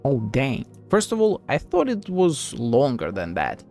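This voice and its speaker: Male streamer, mid-pitched voice, offhand slangy commentary, relaxed manner, light game music underneath. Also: measured pace. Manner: laid-back, slangy, mild swearing. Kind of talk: casual live gameplay commentary.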